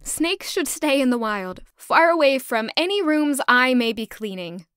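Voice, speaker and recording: A woman's voice: high voice, animated, unhurried, fairly bright tone, clean tone, no echo, studio quality. A woman playing a young, anxious, curious castle servant who narrates her own story in an audio drama. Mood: nervous, musing. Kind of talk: first-person audio drama narration and dialogue.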